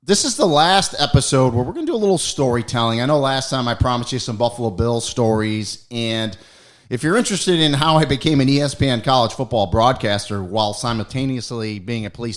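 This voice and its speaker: A man's voice: mid-range voice, expressive, fast. A middle-aged American man hosting a podcast, talking casually and telling stories with lots of fillers and self-corrections. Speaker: podcast host. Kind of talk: casual podcast chat and storytelling.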